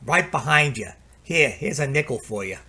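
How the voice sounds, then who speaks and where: A man's voice: mid-range voice, expressive, normal speed, pushed and bright, husky, full character voice. An actor playing an everyman company technician in an old-time radio drama. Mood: casual.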